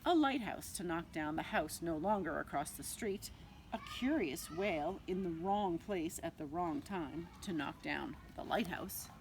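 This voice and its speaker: A woman reading a picture book aloud to young children like a nursery teacher, expressively, with dramatic pauses and drawn-out words. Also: on the low side, expressive, a little mellow, a little hiss or hum, rough recording.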